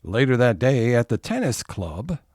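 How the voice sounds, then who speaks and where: A man's voice: medium pitch, big pitch swings, normal speed, a little mellow, dry recording, clean studio sound. A man narrating scene changes in a scripted comedic audio drama. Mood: announcing.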